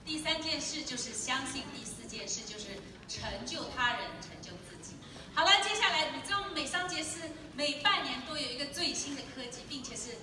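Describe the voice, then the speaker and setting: A woman emcees a stage event in a lively manner and drops in English words as she goes. Her voice is high. The audio is distant, with heavy hall reverb and noise. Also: a little fast. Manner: lively, mixes in English words, laughs easily. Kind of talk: stage hosting. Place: large convention hall. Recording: echoey hall taped from far off, noisy.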